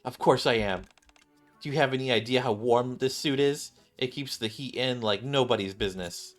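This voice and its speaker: A man's voice: medium pitch, normal speed, lightly acted. A man voicing an eager, boastful young female survivalist rookie from a video game, chatty and overconfident, with music behind. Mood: boastful, insistent.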